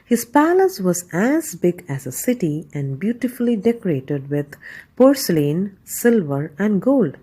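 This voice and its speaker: A woman tells a bedtime story in a measured, expressive way. Her voice is mellow and husky, and her pitch moves in lively swings as she narrates.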